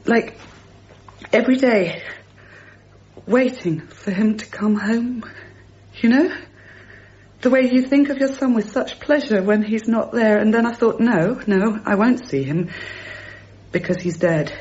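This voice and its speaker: An actress playing a rational, brittle grieving mother in a naturalistic radio drama, speaking in halting, repeated, self-correcting phrases. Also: a little high, darkish tone, dull audio, natural voice. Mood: numb, grieving.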